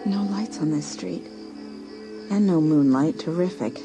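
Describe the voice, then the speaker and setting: A woman's voice: low voice, unhurried, over loud music. A woman playing a scheming, competitive, jealous teenage girl in a dramatised audiobook, talking to herself in theatrical exclamations. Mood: scheming, defiant. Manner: theatrical, exclamatory self-talk. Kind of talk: dramatised audiobook acting, thinking aloud.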